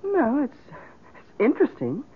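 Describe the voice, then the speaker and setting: A woman's voice: high voice, wildly swooping pitch, unhurried, slightly rough, exaggerated voice. An American woman acting in a radio drama as a polite, hesitant, practical married woman. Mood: doubtful. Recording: tinny old radio broadcast.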